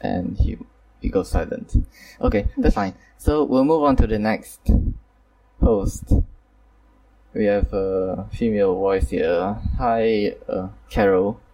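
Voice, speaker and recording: A man's voice: fairly low pitch, slow, warm tone, far from the mic, rough recording. A man hosting a casual online group chat, talking hesitantly with many fillers and apologies. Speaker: host.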